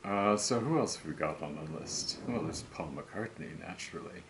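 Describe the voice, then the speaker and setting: An older man talking slowly and self-assuredly to camera about recording cover songs, rambling and musing as he goes. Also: sits low, lively intonation, gravelly, background noise. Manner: slow, musing, self-assured, breaks into song. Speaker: home singer recording covers. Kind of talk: rambling solo monologue to camera.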